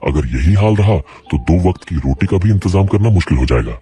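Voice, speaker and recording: A man's voice: low voice, animated, brisk, warm tone, slight background noise. A man voicing a poor, worried village potter in a cartoon story. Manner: worried, plain-spoken. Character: poor struggling middle-aged male potter. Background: faint music.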